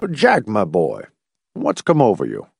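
A man's voice: medium pitch, sing-song, unhurried, vocal fry, a little mellow, dry recording, studio quality, exaggerated voice. A man voicing a bewildered old male scientist in an audiobook, hesitant, stammering and genial. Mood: timid dismay. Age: older.

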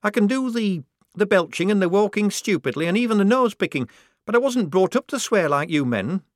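Man voicing young disguised woman recruit, high, loud, pitch swooping, dry audiobook sound. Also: lively intonation, fairly quick, no room sound. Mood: flustered. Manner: mumbling then heated. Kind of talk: audiobook character voice.

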